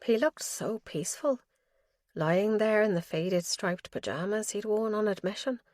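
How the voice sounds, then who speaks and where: Woman reading a mystery audiobook, medium pitch, no room echo at all. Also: measured pace, darkish tone, studio quality. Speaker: audiobook narrator. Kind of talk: scripted narration of a mystery novel.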